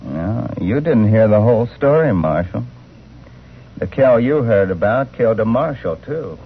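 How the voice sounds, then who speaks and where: Mean taunting cowboy gunslinger, sneery, old time radio, tinny with some hiss. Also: male voice, on the low side, expressive, measured pace. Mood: hostile. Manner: sneering, challenging. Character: taunting, hostile Old West gunslinger villain.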